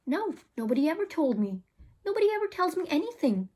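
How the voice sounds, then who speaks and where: A woman's voice: high voice, very animated, quick pace, dark tone, pitched above the performer's own voice. A woman reading the part of an unsettled, argumentative sixth-grade boy in a storybook, blunt and questioning. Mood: indignant.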